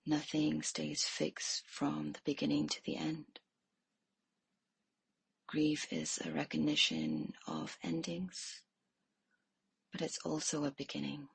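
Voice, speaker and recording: A woman leading a guided meditation in a soft, hushed way, leaving pauses between phrases. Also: low voice, creaky, husky, no echo.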